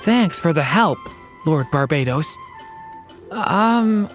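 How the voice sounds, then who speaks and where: Low-voiced, earnest young dragon boy. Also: animated, takes it slowly, over music. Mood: hesitant, curious. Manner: soft-spoken courtesy, hesitant, thoughtful. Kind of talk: video game voice acting.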